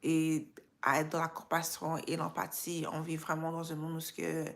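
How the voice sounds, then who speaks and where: A woman's voice: low-pitched, completely flat delivery, normal speed, gravelly. A woman with a Quebec French accent touched by Creole and English, chatting informally and motivationally as a live stream host, dropping in English slang. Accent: Quebec French with some Creole and English influence. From Quebec, Canada. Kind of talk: casual motivational live chat.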